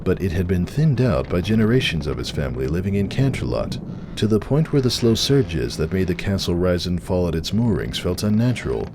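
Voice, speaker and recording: A man narrates a story in a dramatic reading style. His voice is very deep, with lively, wide-ranging intonation. Clear ambient noise runs behind him.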